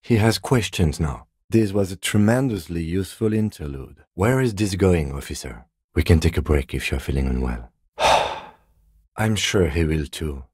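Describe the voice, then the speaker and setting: An actor playing a composed, courteous police lieutenant in a video game, with measured, dryly sardonic, deadpan delivery and a low, husky voice. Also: male voice, no room sound, clean studio sound. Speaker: game character, police detective. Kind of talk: video game dialogue voice lines.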